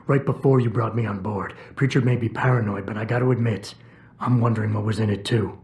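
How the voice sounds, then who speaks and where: An actor playing a stoic, probing ex-cop security chief in a game, with a hushed gravelly voice, terse and deadpan. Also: male voice, lowish voice, a little fast, close to an everyday voice. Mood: guarded, insistent. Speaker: video game protagonist. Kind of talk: video game cutscene acting. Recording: steady background ambience noise.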